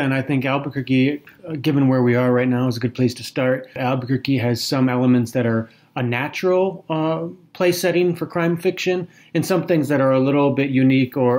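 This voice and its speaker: A man co-hosting a podcast, giving prepared literary commentary in a measured, articulate way with emphatic phrasing. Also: medium pitch. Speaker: podcast co-host. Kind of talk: literary discussion with prepared remarks.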